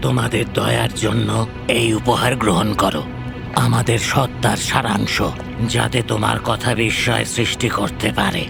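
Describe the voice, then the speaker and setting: Ancient magical guardian: heavy creak, grave, formal, benevolent, cartoon fairy tale over clear music. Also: male voice, on the low side, steady tone, normal speed. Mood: solemn. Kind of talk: animated fairy-tale dialogue.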